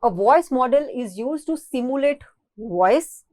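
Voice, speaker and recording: A woman's voice: high-pitched, lively intonation, unhurried, darkish tone, no room sound. A woman with an Indian accent giving a recorded technical lecture as a college lecturer, explaining and rephrasing her points.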